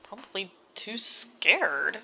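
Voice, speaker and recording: Bright-voiced woman, slowly thinking out loud. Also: medium pitch, sing-song, gravelly, a little hiss or hum. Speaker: reaction video host. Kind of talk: casual, analytical game reaction commentary.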